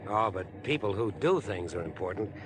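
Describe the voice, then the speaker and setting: An actor playing an overeager, ingratiating stranger with an obsessive streak, chatty and pushy in 1950s radio drama style. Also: male voice, expressive, moderate pace, crowd noise, natural voice. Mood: chatty, prying. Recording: 1950s radio broadcast, train rumble behind.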